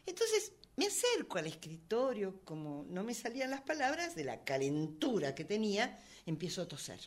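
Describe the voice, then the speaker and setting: A woman performs an indignant woman with a short fuse in a radio play. Her pitch swings widely at a middle register. She is agitated, insisting on excuses and repeating words in bursts. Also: wildly swooping pitch, normal speed. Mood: seething. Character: indignant, hot-tempered woman pleading excuses for her outbursts. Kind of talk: radio drama workshop acting.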